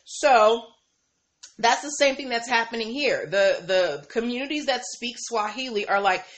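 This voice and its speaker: A woman's voice: on the high side, measured pace. A woman hosting a live stream, chatting casually with viewers, reading their comments aloud and tossing questions back, with lots of fillers and "right?". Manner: conversational, filler-heavy, keeps asking "right?". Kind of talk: casual chat with a live audience.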